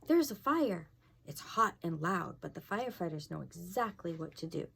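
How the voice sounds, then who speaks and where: A low-voiced woman with a creaky edge reads a picture book aloud for children. Her delivery is animated and expressive, and she often steps out of the text to chat and ask questions. Some noise sits behind her voice. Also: normal speed. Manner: expressive, asking the young listeners questions. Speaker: fire inspector reading a children's book. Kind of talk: read-aloud storytelling with casual asides to children.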